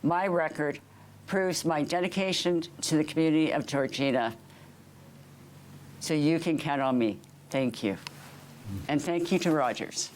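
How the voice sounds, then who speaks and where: A woman with a notably low voice speaks earnestly, stopping often on fillers as she answers. Her replies come in plain, sincere bursts. A faint room hum sits behind her. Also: unhurried, pauses often, darkish tone. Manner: earnest, hesitant with many fillers. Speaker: school trustee candidate. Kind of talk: candidate interview answers.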